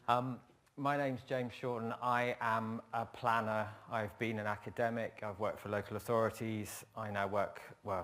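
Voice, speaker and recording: A man's voice: on the low side, a bit bright. A man who works as a planner giving a conference talk, wry and emphatic, repeating his points.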